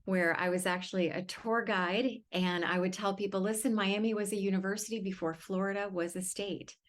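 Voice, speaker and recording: A woman in her fifties from Connecticut talks as a podcast guest at a mid pitch. She is chatty and laughs easily as she tells stories about herself. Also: steady tone, fairly quick. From Connecticut, New England. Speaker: guest, wealth management consultant. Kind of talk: casual interview chat.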